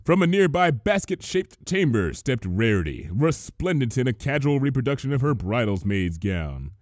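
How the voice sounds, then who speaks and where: A man narrating a scripted story as an expressive reader. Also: medium pitch, moderate pace, no echo, clean studio sound. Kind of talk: scripted narration, expressive fan fiction reading.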